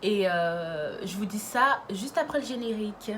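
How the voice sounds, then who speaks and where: A woman's voice: medium pitch, animated, measured pace, heavy background noise. A woman in her twenties from around Lyon, chatting casually to her camera with frequent fillers and giggles. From Lyon area, France. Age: 20s. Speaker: YouTuber. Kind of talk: casual vlog chat.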